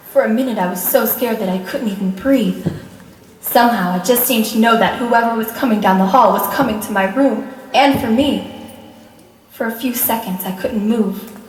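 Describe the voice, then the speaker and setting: A woman playing a terrified, fragile wife in an old-time radio thriller, speaking anxiously and haltingly with dramatic dread. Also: medium pitch, close to an everyday voice. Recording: echoey hall, distant mic, background noise.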